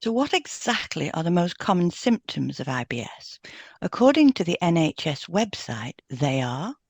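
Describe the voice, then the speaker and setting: A woman's voice: on the low side, big pitch swings, normal speed, darkish tone, husky, no room sound. A woman co-hosting a podcast, reading scripted health information in an informative way. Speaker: podcast co-host and hypnotherapist. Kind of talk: scripted educational podcast, read aloud.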